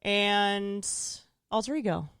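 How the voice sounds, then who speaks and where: A woman voice actor chatting on a podcast, animated and rambling, often laughing. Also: medium pitch, slow pace, a loud crowd. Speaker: voice actor guest. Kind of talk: casual chat.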